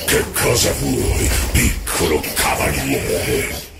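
An actor playing a huge, mocking demon crime lord in a video game cutscene, with gravelly, theatrical threats. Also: male voice, rumbling low, very animated, slow pace, heavily acted. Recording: echoey, under loud cinematic music.

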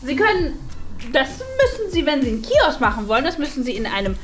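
A woman playing a bossy female teacher in a comedy sketch, haggling insistently for cheap snacks in a bright staff room. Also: high-pitched, huge pitch swings, brisk, full character voice. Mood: pushy. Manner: insistent, bargaining. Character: bossy haggling female teacher, grey-haired wig, glasses.

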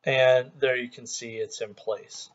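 A middle-aged man walks through a software tutorial from his desk. He talks into a headset mic in a relaxed, conversational tone at an ordinary pitch. The sound is a little dull and lo-fi. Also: a little slow. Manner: relaxed, conversational explaining with small restarts. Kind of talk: screen-recorded software tutorial.

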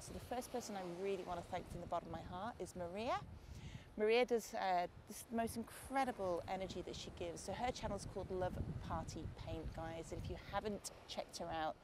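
A woman in her forties from Lancashire with a softened Lancashire accent, chatting casually to camera on a beach and thanking her viewers. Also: medium pitch. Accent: Lancashire English, softened. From Lancashire, England. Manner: chatty, warm thanks, calls viewers guys and mate. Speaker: art channel host. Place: outdoors on a beach at sunset. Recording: noisy outdoor camera audio.

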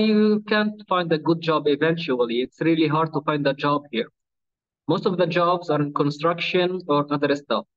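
Palestinian man on a dull video call. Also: on the high side. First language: Arabic. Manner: plain, unpolished English with small slips. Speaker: webinar guest. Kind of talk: answering interview questions. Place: small room indoors. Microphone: laptop webcam on a video call.

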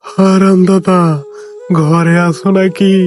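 A man's voice: sits high, level tone, takes it slowly, a little mellow, soft background music. A man doing a tearful desperate farmer. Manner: tearful, begging.

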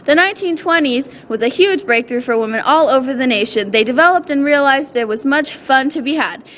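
A woman's voice: high voice, animated, brisk, fairly bright tone, slight background noise. A woman reporter reading a scripted radio segment for a school project.

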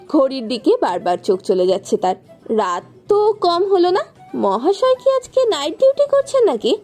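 A woman's voice: on the high side, mellow. A woman reading a scripted romantic story as its narrator.

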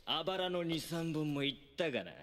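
An actor playing a cruel, cocky young male thug who taunts his opponent in rough, sneering speech. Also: on the high side, moderate pace, a little hiss or hum, lightly acted. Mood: taunting. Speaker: villain. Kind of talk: anime dub acting. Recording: voice over loud background music.

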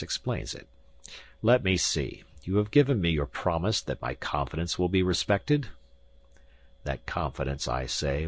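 A man performs an arrogant professor from an audiobook with an extremely deep, husky voice. He lectures pompously and looks down on whoever listens. The sound is dry and close. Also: dry recording. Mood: scornful musing. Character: arrogant, booming, pompous male professor, scornful and imperious. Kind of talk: audiobook character acting.

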